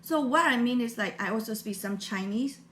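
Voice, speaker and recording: A woman's voice: on the high side, a little fast, a bit bright, slight background noise. Woman from Burma, Burmese native speaker, earnest rambling vlog talk, roomy echo. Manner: earnest, rambling with fillers and non-native grammar. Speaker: motivational vlogger. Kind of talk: personal motivational monologue.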